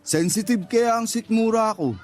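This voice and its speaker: High-voiced oblivious young man, played by an actor. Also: normal speed, close to an everyday voice. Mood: friendly, sheepish. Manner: innocent, puzzled, plain-spoken. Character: oblivious, shy, good-natured young man, a loyal cake customer. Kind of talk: Tagalog radio drama acting.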